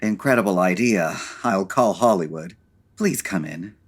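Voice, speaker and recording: A man playing a suave, wry gentleman sleuth in an old-time radio comedy, urbane and dryly sarcastic. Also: low voice, lively intonation, measured pace, husky, heavily acted.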